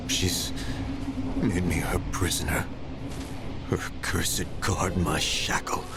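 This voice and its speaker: Low, tormented, foreboding ghost. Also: male voice, fairly even intonation, takes it slowly, heavy background noise. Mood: haunted, foreboding. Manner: ominous, theatrical. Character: tormented ghost of a male game creator, foreboding. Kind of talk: solemn dramatic monologue. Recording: loud music over the voice.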